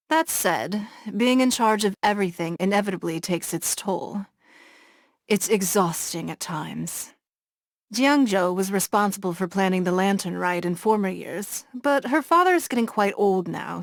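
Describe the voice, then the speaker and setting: A woman voicing a poised, shrewd noblewoman who leads a harbor city, speaking in a measured, formal and composed way in a game cutscene. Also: mid-range voice, no room sound, studio quality, natural voice. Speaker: game character.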